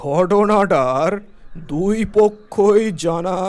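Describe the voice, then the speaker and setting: A man voicing a stern, authoritative village headman in a cartoon story, speaking deliberately. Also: high voice, a little mellow. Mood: stern, measured. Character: stern, measured village headman hearing a complaint.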